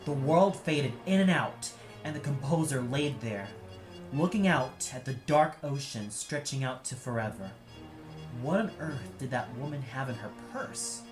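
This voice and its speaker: A man narrates an audio play in a dramatic, scripted storytelling style. Music plays clearly behind his voice. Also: medium pitch, expressive, measured pace.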